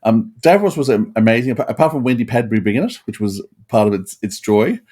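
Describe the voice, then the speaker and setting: A man's voice: medium pitch, expressive, fast, mellow, slightly rough. A man co-hosting a podcast, chatting casually as an enthusiast. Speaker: podcast co-host.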